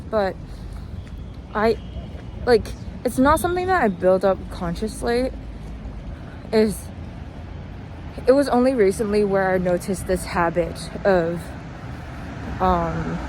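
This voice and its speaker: Young female vlogger, unhurried delivery, self-deprecating and tangential, recorded outside on a walk over noticeable city noise. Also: mid-range voice. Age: young adult. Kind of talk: casual rambling vlog while walking. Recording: noisy outdoor recording with traffic.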